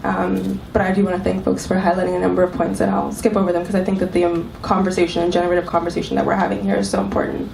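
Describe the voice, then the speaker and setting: Panelist, woman with a cold, slightly low voice, thoughtful with many ums, distant mic in an echoey room. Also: little pitch movement, very fast, vocal fry, few pauses, a little mellow. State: has a cold. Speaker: panelist, arts executive director. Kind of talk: panel talk to a live audience. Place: club event room. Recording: echoey room, mic from far off, faint hiss.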